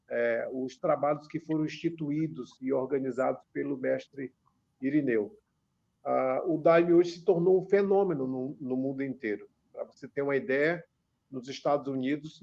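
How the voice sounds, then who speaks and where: Brazilian radio guest in his 50s; gravelly voice, measured delivery, muffled lo-fi broadcast. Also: medium pitch, darkish tone. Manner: measured, many filler pauses. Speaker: author and religious researcher guest. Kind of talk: radio interview, explaining. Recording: dull lo-fi radio sound.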